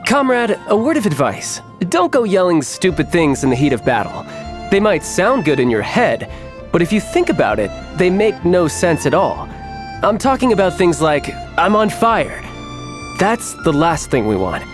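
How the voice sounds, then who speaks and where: A man's voice: sits high, big pitch swings, moderate pace, music in the background. An actor playing a cocky, battle-hungry young male warrior in a video game, theatrical, teasing and self-assured. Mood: playful, confident. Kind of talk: scripted video game character voice lines.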